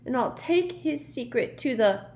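A woman voicing the glum, easily wounded ghost of a teenage girl in an audiobook, sulky and plaintive with drawn-out words. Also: high-pitched, expressive, darkish tone, pitched above the performer's own voice. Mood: defiant.

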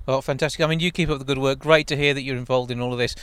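Smooth-voiced radio host man. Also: mid-range voice, steady tone, racing, fairly bright tone, no echo. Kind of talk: radio interview.

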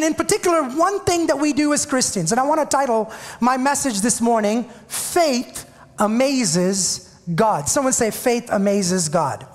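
A man's voice: very high-pitched, in a large hall. A man from India with an Indian English accent, a pastor giving a chatty, joking sermon to his congregation. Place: church sanctuary.

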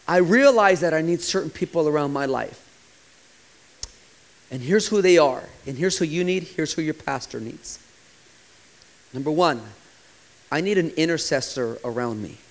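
Impassioned pastor in his forties. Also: on the high side. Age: 40s. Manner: impassioned preaching, sometimes dropping to a quiet, hushed delivery. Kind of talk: sermon.

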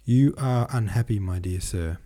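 Stern old gentleman Freemason read by a male narrator, formal and deliberate, dark low voice, storybook delivery. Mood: earnest, paternal.

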